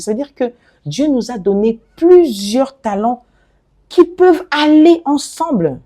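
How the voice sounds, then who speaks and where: A middle-aged woman from the DR Congo, an interview guest who talks about her work in an animated, expressive way and often restarts her phrases. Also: mid-range voice. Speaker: guest, fashion designer and author. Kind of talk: conversational interview.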